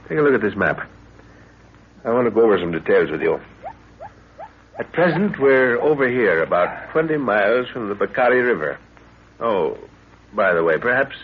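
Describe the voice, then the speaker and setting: A man's voice: on the low side, very animated, moderate pace, pauses often. An actor playing a grave, authoritative middle-aged father who leads an expedition, in a 1930s radio drama. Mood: grave, confiding. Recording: tinny, noisy 1930s radio recording.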